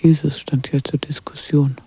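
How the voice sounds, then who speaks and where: An actor playing a quiet, reflective listener who comments on loneliness in a hushed, whispery radio drama voice. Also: mid-range voice, little pitch movement, moderate pace, no room sound. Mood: musing, hushed. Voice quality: whispery, very breathy. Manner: reflective, aphoristic. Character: quiet, musing interlocutor commenting gently on loneliness.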